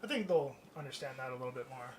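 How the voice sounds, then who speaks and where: A man co-hosting a podcast in casual chat, enthusiastic, slangy and quick to chime in supportively. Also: medium pitch, expressive. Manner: enthusiastic, surfer-style slang, supportive interjections. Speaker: podcast co-host.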